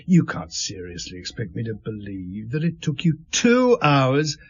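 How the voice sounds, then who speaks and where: An actor playing a stern, shrewd police inspector who questions methodically and sardonically in a radio drama. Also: male voice, medium pitch, huge pitch swings, a little slow, slightly rough, dull audio, exaggerated voice. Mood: pressing, skeptical. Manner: pressing, methodical, sardonic interrogator.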